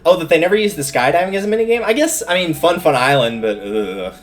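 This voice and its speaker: A man's voice: fairly high pitch, lively intonation, quick pace. A man giving casual, chatty, opinionated live commentary as he streams a video game, rambling off into asides. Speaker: game livestreamer.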